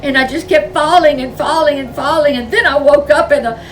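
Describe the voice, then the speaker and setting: A woman's voice: high-pitched, brisk, recorded from a distance, voice pushed up, close to an everyday voice. A woman voicing a frightened little bear cub girl in a storybook reading, stammering and childlike. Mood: upset, shaken.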